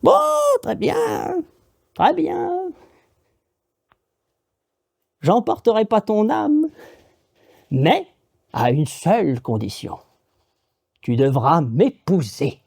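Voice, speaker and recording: A storyteller voicing an old, ugly, hunched witch who is the mother of the devil king, speaking slowly and with menace. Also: mid-range voice, wildly swooping pitch, mellow, dry recording, studio quality, full character voice. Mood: menaçante.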